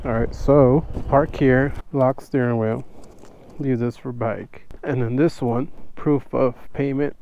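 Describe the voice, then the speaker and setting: A man's voice: mid-range voice, slow pace, lo-fi sound. A man in his thirties casually narrating a camping trip in a forest campground, explaining each step as he goes, recorded on an action camera outdoors. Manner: relaxed, chatty, talks through each step. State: hushed early-morning talk. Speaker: moto camping vlogger. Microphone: action camera, chest-mounted or handheld. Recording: camera mic with outdoor noise.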